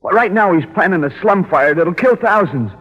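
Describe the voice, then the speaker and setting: A young, doomed hoodlum, talks in intense slang with a raspy, fairly high voice. He is bitter and defiant. The old radio drama recording is dull and echoing. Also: expressive, moderate pace, a bit bright. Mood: bitter, urgent. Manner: slangy tough-guy delivery, intense. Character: doomed young male condemned crook, bitter, tough, defiant. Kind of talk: 1940s radio drama acting.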